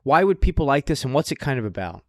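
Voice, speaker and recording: A man's voice: medium pitch, sing-song, fast, dry recording, clean studio sound. A man co-hosting a podcast in casual chat, informal and sweary, repeating phrases for emphasis as he gives recommendations. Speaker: podcast co-host.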